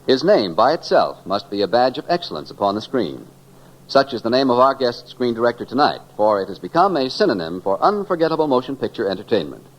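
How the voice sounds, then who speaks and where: A man's voice: medium pitch, big pitch swings, fairly quick, poor audio. A man with an American accent announcing a radio program in a grand, formal 1940s style.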